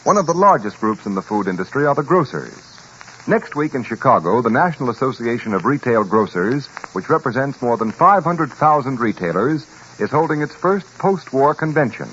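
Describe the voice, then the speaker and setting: A male announcer reads scripted vintage radio copy with polished formality. His mid-pitched voice rises and falls in a very lively, emphatic way. The recording is dull, with a little background noise. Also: fairly quick. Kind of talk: 1940s scripted sponsor announcement. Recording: muffled, faintly noisy vintage radio recording.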